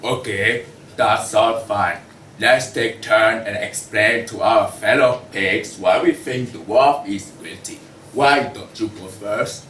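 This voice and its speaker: A man's voice: lowish voice, takes it slowly, fairly bright tone. A man playing a businesslike pig who presides over a jury in a student play reading. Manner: orderly, presiding. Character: businesslike pig jury foreman running the vote. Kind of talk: student table read of a comic play. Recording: echoey room, distant mic, faint noise.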